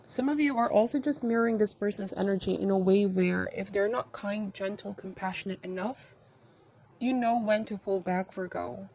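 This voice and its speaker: A woman with a mid-pitched voice reads tarot cards for her viewers. She talks in short, measured phrases. Her manner is reflective and advising, as she interprets each card and offers guidance. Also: darkish tone, poor audio. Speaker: tarot reader and channel host. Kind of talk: intuitive tarot reading addressed to viewers.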